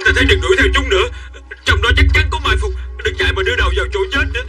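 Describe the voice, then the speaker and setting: An excitable underling soldier in an animated war saga. His voice is very high for a man and races along, urgent and fearful, always deferring to rank. Faint music sits behind it. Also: very fast. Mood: nervous. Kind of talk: dubbed animated historical drama acting. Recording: slightly distant, music behind.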